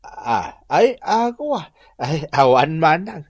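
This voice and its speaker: A man playing a hard-drinking, sheepish village man in a radio drama, with a Filipino accent and a slurred, halting delivery full of grunts. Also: fairly high pitch, sing-song, breathy edge, dry recording, exaggerated voice. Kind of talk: Ilocano radio drama acting.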